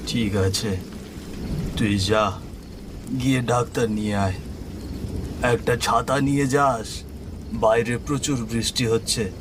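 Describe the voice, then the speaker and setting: A man's voice: medium pitch, fairly even intonation, slow pace, long pauses, background noise, lightly acted. A man playing a worried village father in a horror cartoon, speaking with a heavy, anxious manner. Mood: concerned. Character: worried, troubled village father, middle-aged or older.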